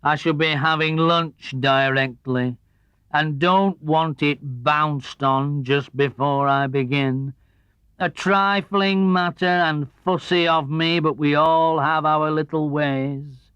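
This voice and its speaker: A man's voice: fairly high pitch, steady tone, slow pace, fairly bright tone, smooth, no echo, highs cut off. A man voicing a gloomy, sarcastic old donkey in a children's storybook, drawling ponderously and mournfully. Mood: fussy, mournful.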